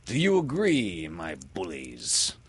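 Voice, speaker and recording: A man's voice: sits low, huge pitch swings, breathy edge, exaggerated voice. A man voicing a proud, brooding pirate captain in a grandiose, menacing storybook style. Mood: scheming. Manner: grandiose, menacing, slow dramatic delivery.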